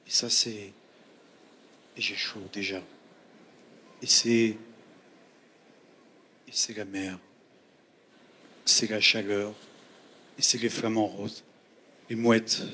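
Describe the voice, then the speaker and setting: Man reciting poetry, even pitch, noisy stage. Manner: incantatory, short repeated phrases with pauses. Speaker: poet reading own work on stage. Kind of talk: performed poetry reading. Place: theatre stage at a festival. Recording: noisy live stage recording with ambience.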